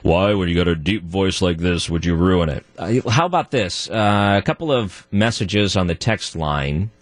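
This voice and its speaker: A man with a deep voice, guest hosting a talk radio show and arguing his point alone, his voice jumping higher when he gets worked up. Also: normal speed, no room sound. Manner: animated, voice jumps high when worked up. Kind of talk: solo talk radio monologue.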